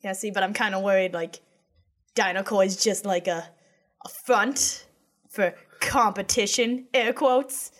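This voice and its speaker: A young woman playing a tabletop game talks through her plans out loud. She is chatty and casual, and laughs now and then. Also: medium pitch, unhurried. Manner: chatty, thinks aloud, laughs. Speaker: player.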